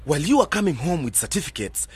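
An actor playing a stern, reproachful older uncle from a village in a radio drama, scolding and insistent. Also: male voice, a little high, big pitch swings, fast, breathy edge, dry recording, exaggerated voice.

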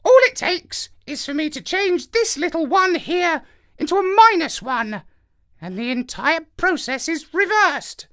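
A smug, squawky parrot genius talks down to everyone around him. The voice sits far above the narrator's own and stays loud and pushy. He has a know-it-all way of talking in a storybook reading. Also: big pitch swings, a little slow, heavily acted. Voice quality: squawky high put-on voice. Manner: smug, condescending. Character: sharp-tongued clever male parrot hacker.

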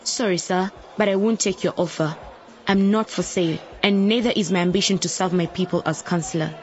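A woman's voice: lowish voice, brisk, natural voice. An actress playing a principled young female candidate who talks hesitantly but stands firm. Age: young adult. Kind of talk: radio drama acting. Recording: dull lo-fi radio drama recording.

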